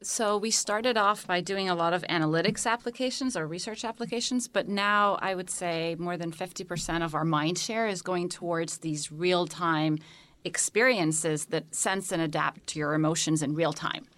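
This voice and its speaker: Woman, panel guest, from Egypt, enthusiastic, articulate, lowish voice. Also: normal speed, fairly bright tone. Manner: articulate, enthusiastic, explains with many examples. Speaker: tech company founder, guest. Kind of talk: panel conversation.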